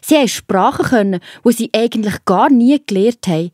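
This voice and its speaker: A woman's voice: a little high, lively intonation, brisk, a little mellow, dry recording, clean studio sound. A woman with a Swiss German accent narrating a children's Bible story in a scripted storytelling style.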